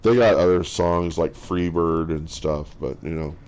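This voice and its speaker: A man with a strong bass voice, chatting casually and haltingly as a streamer, with lots of fillers and restarts. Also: a little mellow. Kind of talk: casual rambling chat.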